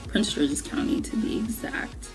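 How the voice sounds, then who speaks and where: A young adult woman from Maryland, the secretary, speaking in a measured, earnest way. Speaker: fellowship secretary. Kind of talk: reading a statement and personal testimonial. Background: soft background music.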